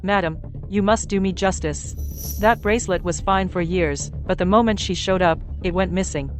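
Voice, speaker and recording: An actress playing a spiteful, haughty middle-aged aunt who talks in an accusing way. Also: female voice, on the low side, moderate pace, soft background music, natural voice. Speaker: scheming aunt in a family drama. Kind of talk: dubbed drama acting.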